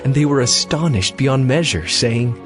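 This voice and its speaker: A man's voice: medium pitch, lively intonation, moderate pace, music in the background. A man reading as the narrator of a dramatized Bible, in scripted storytelling style.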